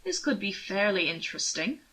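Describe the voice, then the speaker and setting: A woman plays a young aristocratic cad in an audiobook. He drawls with suave amusement and likes to tease. The voice is bright and crisp-edged, with a rakish confidence. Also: mid-range voice, very animated, normal speed, poor audio. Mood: wry, calculating. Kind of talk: audiobook character voice.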